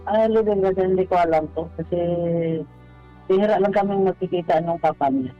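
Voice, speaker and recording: A man's voice: high voice, brisk. A man calling a radio show, answering politely, briefly and hesitantly over a lo-fi line. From Philippines, Bohol. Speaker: caller. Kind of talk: personal account on radio phone-in. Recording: dull, lo-fi phone call. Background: faint music.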